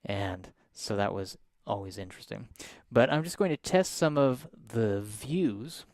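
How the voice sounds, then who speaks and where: A soft-spoken man, teaching a live piano lesson and explaining each step in a friendly way. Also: fairly low pitch, lively intonation, a little slow, no room sound, studio quality.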